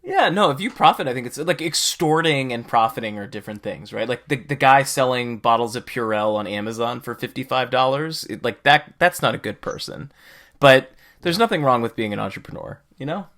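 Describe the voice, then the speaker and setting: A man's voice: mid-range voice, animated, brisk, fairly bright tone. A man hosting a podcast, talking casually and conversationally as he explains his opinions. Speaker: podcast host.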